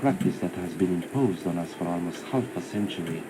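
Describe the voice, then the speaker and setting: A grave political speaker acted by a man denounces the government in a game's broadcast. The voice is deep, solemn and declamatory, with obvious noise around it. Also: fairly quick, lightly acted. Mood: grave, concerned.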